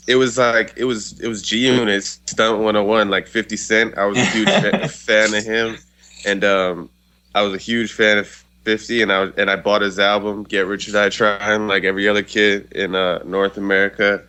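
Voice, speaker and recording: A young man from Canada calls in as an interview guest. His low voice barely moves in pitch. It sounds bright and smooth, and he talks in a relaxed, easygoing way. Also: normal speed. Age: 20s. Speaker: guest rapper. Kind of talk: phone-in interview.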